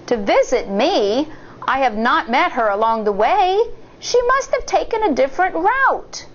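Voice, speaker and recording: A woman voicing a cunning old wolf who poses as a sweet grandmother, coaxing slowly in a sugary way. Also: sits high, big pitch swings, dull audio, voice pushed up. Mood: coaxing, sly.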